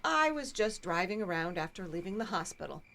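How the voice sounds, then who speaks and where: A woman's voice: on the low side, sing-song, fairly quick, creaky, fairly bright tone, exaggerated voice. An actress playing a well-off small-town woman who is prim and guarded, speaking in a formal, clipped, evasive way.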